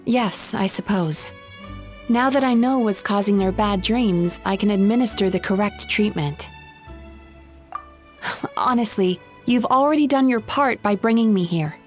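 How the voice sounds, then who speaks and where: A woman voicing a calm, composed dream therapist. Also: medium pitch, measured pace, faint music behind, lightly acted. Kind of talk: game voice acting.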